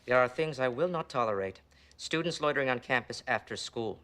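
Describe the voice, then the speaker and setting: A man acts a stern male school principal in a TV drama. The voice is mid-pitched and officious, with clipped, pompous phrasing and a deadpan air of disapproval. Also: brisk, lightly acted. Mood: stern, disapproving. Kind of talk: TV series acting.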